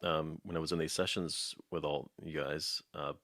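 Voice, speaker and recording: A man with an extremely deep voice, recorded dry with no room sound. He works as an interviewer, speaking in a casual conversational style. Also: little pitch movement, normal speed, a bit bright, no echo.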